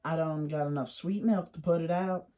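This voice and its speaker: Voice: medium pitch, fairly even intonation, normal speed, dark tone, natural voice. A storyteller voicing a clever, stubborn country mother who speaks slyly and defiantly. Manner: sly, defiant. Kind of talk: oral folktale telling.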